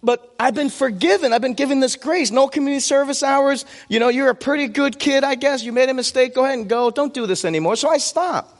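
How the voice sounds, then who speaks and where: A man's voice: very high-pitched, fast talker, few pauses. A man in his forties, a native Vietnamese speaker, preaching conversationally with personal stories and questions he answers himself. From United States. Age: 40s. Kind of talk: sermon with personal anecdotes. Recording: long hall reverb.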